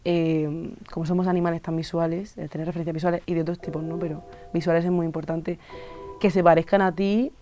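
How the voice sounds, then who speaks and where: A woman in her twenties from Spain, an illustrator and musician, talking thoughtfully in an interview with many pauses and fillers. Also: on the low side, little pitch movement, dark tone, faint music behind. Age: 20s. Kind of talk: reflective interview monologue.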